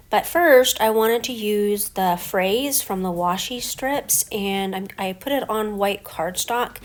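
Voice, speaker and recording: A middle-aged woman with a stuffy head cold, talking through her scrapbook layout step by step in a relaxed voice-over. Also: mid-range voice. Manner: relaxed, explains each step as she works. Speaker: scrapbooking crafter. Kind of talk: casual craft voice-over.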